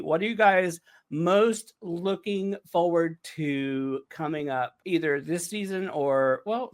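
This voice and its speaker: A man hosting a casual podcast chat among writers, speaking informally and with enthusiasm. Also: fairly high pitch. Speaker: discussion host and writer.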